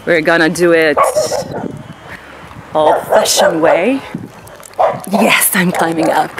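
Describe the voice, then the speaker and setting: A woman's voice: sits low, slow. A woman in her thirties, a native Croatian speaker, chatting upbeat to a handheld camera at windy outdoor ruins. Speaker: travel vlogger. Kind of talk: casual travel vlog to camera. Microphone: handheld camera at arm's length. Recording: background music over outdoor audio.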